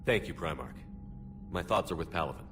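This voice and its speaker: A man's voice: expressive, fairly quick. An actor playing a hard-edged male starship commander, terse and firm, over game music. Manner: terse, firm, low-key. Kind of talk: video game voice acting.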